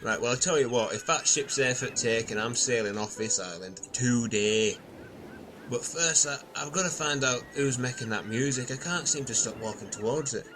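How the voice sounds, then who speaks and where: A man's voice: medium pitch, normal speed, fairly bright tone, close to an everyday voice. A man playing a blunt, sarcastic, grumbling castaway in a comedy audio sketch. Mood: determined. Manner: blunt, sweary. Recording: music behind, blown-out homemade audio.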